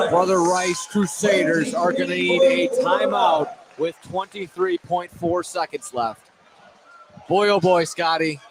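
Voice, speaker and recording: A man calling a live high school basketball game on radio, excitable and correcting himself as he goes. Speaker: play-by-play basketball commentator. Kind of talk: live sports radio play-by-play.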